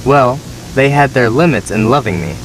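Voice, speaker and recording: A man's voice: mid-range voice, normal speed, natural voice. Neglected younger son played by a man, noisy recording. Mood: wistful, resigned. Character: neglected, resentful younger son recounting childhood punishments. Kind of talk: scripted first-person horror story narration.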